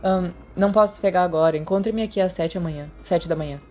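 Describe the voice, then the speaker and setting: A woman's voice: fairly low pitch, fast talker, a little mellow, soft background music, natural voice. A woman voicing a cool, evasive, irritable teenage boy in a story, guarded and disinterested.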